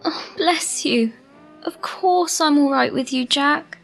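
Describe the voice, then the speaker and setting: A woman acts out a stoical, kindly care worker in a radio drama. Her voice sits a little high and she talks in a familiar, reassuring way. There is light music in the background. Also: slow, creaky, exaggerated voice. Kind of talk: amateur radio play acting.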